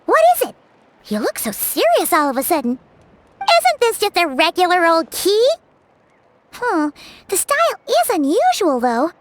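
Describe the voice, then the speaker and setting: A woman voicing a tiny, excitable fairy companion who talks about herself in the third person, in video game dialogue. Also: extremely high pitch, measured pace, long pauses, slight background noise, heavily acted. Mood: curious. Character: tiny floating fairy companion, chirpy, excitable, self-centered. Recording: game soundtrack music behind.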